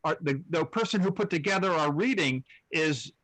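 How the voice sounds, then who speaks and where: American guy from north carolina, bright tense voice, dry sound. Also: on the high side, expressive, normal speed, dry recording. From North Carolina, USA. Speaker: reading group member. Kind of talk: casual chat.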